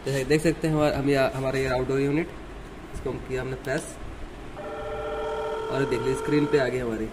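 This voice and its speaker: A man's voice: medium pitch, fairly even intonation, a little fast, pauses often. A man giving an unscripted step-by-step installation tutorial in a plain, instructional way, recorded indoors on a handheld device. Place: indoors in a room. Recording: clearly noisy amateur handheld recording.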